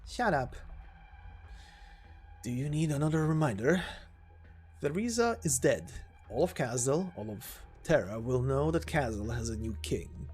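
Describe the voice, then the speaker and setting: A man voicing a menacing, taunting hunter in a game story, with a forceful manner. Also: mid-range voice, sing-song, measured pace, pauses often, breathy edge. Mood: threatening. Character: menacing, taunting hunter cornering a fleeing enemy. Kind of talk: amateur game story voice acting. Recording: music behind the voice.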